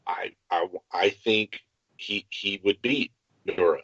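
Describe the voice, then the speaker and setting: A man's voice: lowish voice, slow, fairly bright tone, breathy edge, no echo, muffled recording. A man co-hosting a gaming podcast, talking strategy casually and emphatically, restating his points. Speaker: podcast co-host. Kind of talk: casual tabletop game strategy talk.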